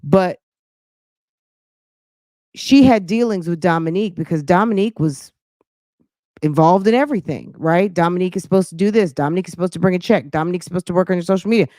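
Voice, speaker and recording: A woman's voice: lowish voice, fast, long pauses, warm tone, no echo. Sassy woman commentator, close dry sound. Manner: conversational, emphatic repetition, rhetorical questions. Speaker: host of a commentary show. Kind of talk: casual live reaction commentary, thinking aloud.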